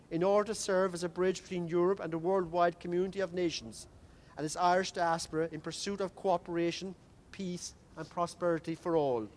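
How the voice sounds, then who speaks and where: High-voiced man reading a formal declaration. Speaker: master of ceremonies at a commemoration. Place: outdoors in a city square.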